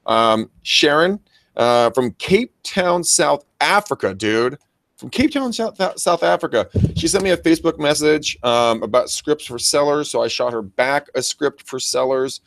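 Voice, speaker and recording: A man with an American accent and a very loud voice chatting casually as a talk show co-host, enthusiastic, full of bro slang and swearing. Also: a little high, lively intonation, a little slow, creaky, no echo. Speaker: co-host of a real estate training show and agent. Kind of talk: casual unscripted show chat with shout-outs. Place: private office.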